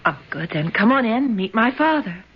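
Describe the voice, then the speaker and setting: A woman's voice: mid-range voice, sing-song, fairly quick, slightly rough. An actress playing an anxious, emotional young society woman in a 1970s radio drama. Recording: dull, faintly noisy old radio broadcast.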